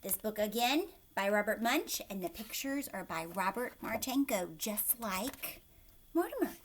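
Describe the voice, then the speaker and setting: A woman's voice: medium pitch, very animated, unhurried, a little hiss or hum. A woman hosting a children's read-aloud, narrating a storybook expressively and breaking off to question her young listeners in an emphatic way.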